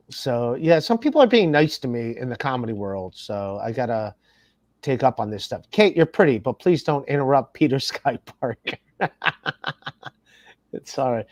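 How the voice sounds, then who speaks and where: A man's voice: medium pitch, a little mellow, no room sound, studio quality. A man hosting a podcast in casual, profane chat, rambling as he reads viewer comments aloud. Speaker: podcast host. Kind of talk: casual chat reading super chats aloud.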